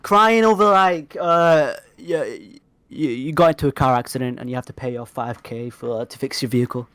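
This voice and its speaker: A man's voice: medium pitch, moderate pace, fairly bright tone, dry recording, studio quality. A congested man with a blocked nose, arguing defensively in a heated online debate. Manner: defensive, argumentative, repeats "man". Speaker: debate guest.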